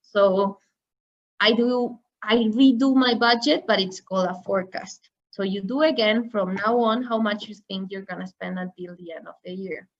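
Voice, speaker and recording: A woman's voice: mid-range voice, steady tone, moderate pace, dull audio. A woman giving an online masterclass on finance, explaining step by step with examples. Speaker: finance trainer. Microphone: video call.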